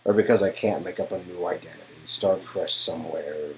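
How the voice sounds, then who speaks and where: A man acting out a guarded adult man from a game, protective and wry, who opens up in halting, earnest confessions. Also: sits low, natural voice. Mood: remorseful, sincere. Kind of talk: dramatic game dialogue performance.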